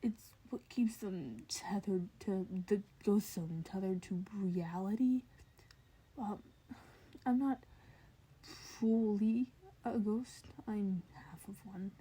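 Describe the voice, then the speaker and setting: Woman as a halting, husky teenage boy. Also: mid-range voice, steady tone, warm tone, rough recording. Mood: strained, confessional. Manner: halting, hesitant, repeats words. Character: guarded, awkward half-ghost teenage boy.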